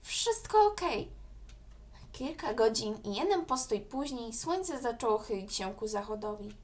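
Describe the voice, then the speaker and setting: A woman's voice: a little high, expressive, normal speed. A woman reading scripted narration as the storyteller of a children's audio story.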